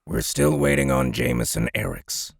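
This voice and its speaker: A stern male commander for a dramatized audiobook. His voice is extremely gravelly and husky, with clipped authority, captured in a very dry studio. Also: lowish voice, huge pitch swings, moderate pace, no room sound, full character voice. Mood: firm.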